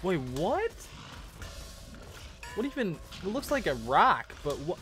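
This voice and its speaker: A young man giving casual, excitable reaction commentary as a gaming streamer, often repeating words. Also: high voice, takes it slowly. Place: small cluttered gaming room at home. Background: game trailer music.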